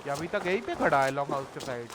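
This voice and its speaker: A man's voice: fairly high pitch, animated, racing. A man giving casual walkthrough commentary as a gaming video host. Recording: game noise and hiss behind voice. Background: video game sound.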